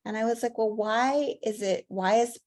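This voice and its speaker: A woman's voice: a little high, normal speed, darkish tone. A woman professor answering interview questions, telling her story fluently with a few fillers. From Latvia. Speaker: guest, professor.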